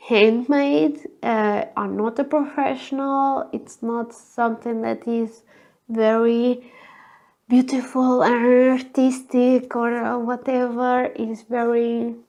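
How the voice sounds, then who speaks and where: A woman with a thin, girly voice, hoarse from a cold, chatting alone on camera and often searching for words. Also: on the high side, a little mellow, lo-fi sound. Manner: hesitant, searching for words, frequent fillers. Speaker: vlogger. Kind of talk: casual solo chat.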